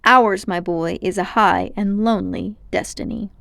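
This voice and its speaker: A woman's voice: medium pitch, big pitch swings, measured pace, gravelly, a bit bright, no echo, clean studio sound, heavily acted. A woman voicing a pompous, condescending, scheming old man, a self-important magician uncle in a storybook. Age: older. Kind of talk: storybook character voice.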